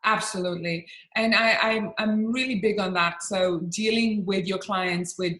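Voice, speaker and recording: A woman from Bosnia and Croatia, a real estate agent speaking as a guest in an interview, talking in an earnest, measured way and pausing to choose her words. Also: medium pitch, fairly even intonation, a bit bright. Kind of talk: interview conversation. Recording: roomy echo.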